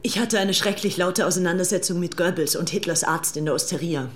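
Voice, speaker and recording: A woman's voice: lowish voice, a little fast, noisy background, natural voice. An actress playing a spoiled, fervent young upper-class English woman in a dramatized documentary, self-assured and haughty. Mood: indignant, gushing. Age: young adult. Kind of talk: dramatized audiobook documentary, reading diary and letters.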